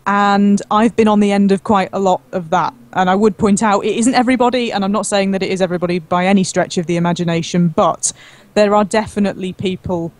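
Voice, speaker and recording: A woman's voice: medium pitch, fairly even intonation, fast, no echo. Frank woman chatting casually, mid-range voice. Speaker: guest, gaming video creator. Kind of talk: casual chat.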